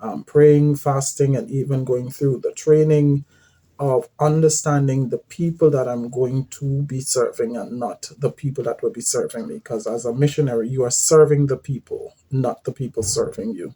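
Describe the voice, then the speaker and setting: A man shares his missionary story in an earnest, measured way. His voice is dark and slightly husky, and he often pauses with fillers and restarts. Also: medium pitch, steady tone, poor audio. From Guyana. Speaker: former volunteer missionary. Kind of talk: personal testimony to camera.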